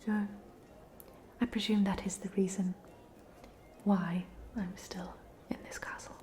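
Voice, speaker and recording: A woman's voice: mid-range voice, flat delivery, unhurried, faint music behind, lightly acted. A woman playing a polite, chatty ghost of a drowned student in a whispered, hesitant ASMR roleplay. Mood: wry, resigned. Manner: whispered, hesitant, gently rambling.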